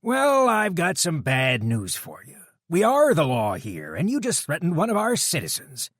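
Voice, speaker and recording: A gruff biker deputy who runs his town, as a male narrator puts him on, speaking in a raised, forceful register. He drawls his warnings with calm authority. The sound is dry and close. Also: a little high, moderate pace, no room sound, voice pushed up, exaggerated voice. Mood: commanding. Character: gruff biker deputy, middle-aged man, commanding.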